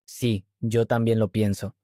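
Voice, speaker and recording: A man reading simple scripted dialogues slowly and clearly, then speaking as the host of a language lesson. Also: fairly low pitch, no echo, clean studio sound. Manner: clear, even, measured delivery.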